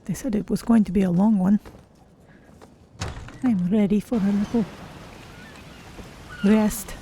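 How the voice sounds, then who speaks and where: A woman voicing a gentle, courteous female cat-folk traveler, musing as she streams a video game. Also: medium pitch, fairly even intonation, unhurried, lightly acted. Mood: polite, musing. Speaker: streamer role-playing a game character. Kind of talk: in-character commentary on a video game. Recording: faint game ambience noise.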